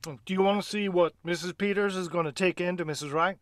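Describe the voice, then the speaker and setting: An actor playing an easygoing country sheriff in a radio play, speaking in a quick, offhand way. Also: male voice, sits high, fairly even intonation, fairly bright tone. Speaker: Sheriff Peters. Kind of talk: live radio play acting.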